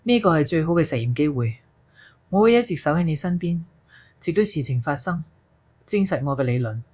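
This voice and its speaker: A man's voice: a little high, lively intonation, a little fast, a little mellow, breathy edge. A man acting a zealous scientist expounding theories in an audio drama. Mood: eager.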